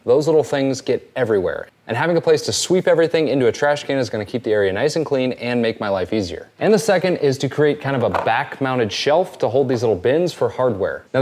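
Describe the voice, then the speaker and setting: A man in his thirties hosting a home workshop channel, explaining his projects in a brisk, upbeat, practical way on a lapel mic. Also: medium pitch, a little mellow. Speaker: woodworking and 3D printing channel host. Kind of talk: casual shop vlog narration. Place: home basement and garage workshop.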